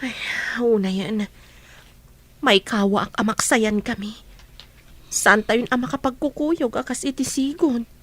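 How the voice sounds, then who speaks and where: A woman's voice: fairly high pitch, a little slow, pauses often. A woman playing a concerned, supportive friend in an Ilocano radio drama.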